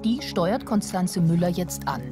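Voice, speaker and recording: German woman doing tv doc voiceover, fairly low voice, music behind her. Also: expressive, unhurried. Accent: standard German from Germany. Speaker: documentary narrator. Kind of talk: scripted TV narration. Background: background music.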